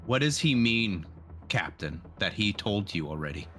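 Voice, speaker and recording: Bright-voiced suspicious marine sergeant played by a man. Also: lowish voice, big pitch swings, moderate pace. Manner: pointed questioning.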